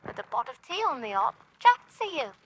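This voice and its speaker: High swooping voice, cheeky flirt, coaxing sweetheart, radio play, noisy line. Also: female voice, very animated, a little fast, fairly bright tone. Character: flirty, teasing working-class sweetheart. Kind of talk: radio drama acting.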